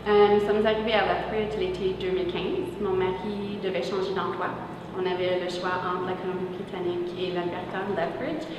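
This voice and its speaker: A woman's voice: lowish voice, flat delivery. Earnest woman, far and echoey. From Winnipeg, Manitoba, Canada. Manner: earnest, with many pauses and restarts. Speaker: teacher and parent. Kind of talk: interview testimonial. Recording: echoey room, mic far off, noise behind.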